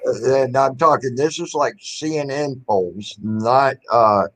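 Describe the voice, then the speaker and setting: A man's voice: mid-range voice, unhurried. A man hosting a casual livestream chat, rambling and swearing freely as he airs strong opinions.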